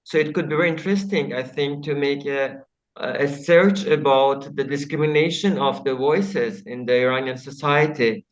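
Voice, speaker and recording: Halting non-native man on a dull call line. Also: medium pitch. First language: not English. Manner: halting, searching for words. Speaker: audience member. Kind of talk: asking a question in a webinar.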